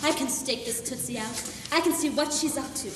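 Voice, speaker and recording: Teen actress as a high-voiced hardboiled lady detective, dry clipped patter, distant echoey hall recording. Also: a little fast. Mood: wry. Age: teens. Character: wisecracking hardboiled female private eye. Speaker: student actor. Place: high school stage. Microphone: distant camera. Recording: echoey school auditorium from far off, noisy.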